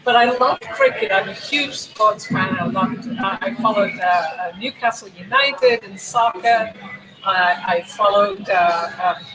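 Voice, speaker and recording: A woman's voice: mid-range voice, a little slow, rough recording. A woman in her sixties chats casually and shares personal anecdotes as a guest coach. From England. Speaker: high school coach, show guest. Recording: crowd chatter behind.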